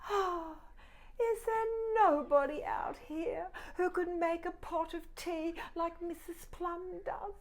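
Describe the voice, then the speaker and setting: A woman's voice: very high-pitched, steady tone, slow, voice pushed up. A woman voicing a fussy female teapot in a children's story, speaking in a plaintive sing-song way.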